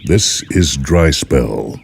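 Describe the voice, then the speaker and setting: A man hosting and reading short stories as dramatic narration, measured and deliberate with theatrical pauses. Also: very deep voice, lively intonation, gravelly. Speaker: host and story reader. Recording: music bed behind the voice. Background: music.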